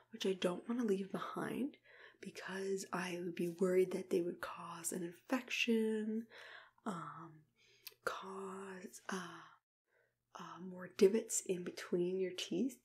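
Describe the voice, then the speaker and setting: A young adult woman in an ASMR roleplay as a dentist, speaking in a soft, breathy, mostly whispered voice with a gentle, reassuring manner. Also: on the low side, a little hiss or hum. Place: small plain room indoors.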